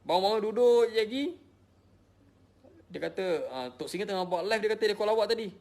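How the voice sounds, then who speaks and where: A man's voice: high voice, brisk, darkish tone. A middle-aged man from Malaysia with a Malaysian Malay accent, tired, defensively explaining himself to followers and repeating his points. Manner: emotional, repetitive, defensive. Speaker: social media personality. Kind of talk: personal explanation to followers, casual.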